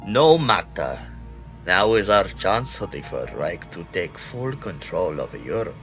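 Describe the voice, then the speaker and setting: An actor playing a merciless, pompous Nazi general in an audio drama, with an imperious and grandiose delivery. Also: male voice, sits low, very animated, a little slow, a bit bright, soft background music, heavily acted. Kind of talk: audio drama villain acting.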